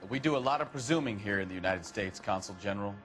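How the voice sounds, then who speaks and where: An actor playing a sardonic, plain-spoken American police detective in a video game, wry and sarcastic. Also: male voice, on the low side, expressive, quick pace, a bit bright, faint music behind. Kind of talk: video game cutscene acting.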